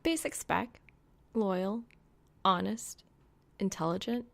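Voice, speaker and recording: A woman's voice: mid-range voice, lively intonation, takes it slowly, vocal fry. A woman voicing a playful, sleepy young female gamer with a light, casual lilt in an audiobook. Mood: wistful, simple.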